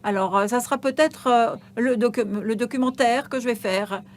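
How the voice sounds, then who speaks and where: A woman interpreting a stage conversation as it happens, her voice sitting a little high. She halts often, filling pauses and restarting phrases, and a faint noise runs underneath. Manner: halting, with many hesitations and restarts.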